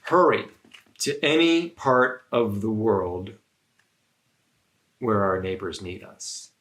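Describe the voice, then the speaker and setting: A man reading the part of an earnest, humble saint who teaches his friends in a storybook, speaking deliberately and urging them on. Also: lowish voice, animated, a bit bright, rough recording.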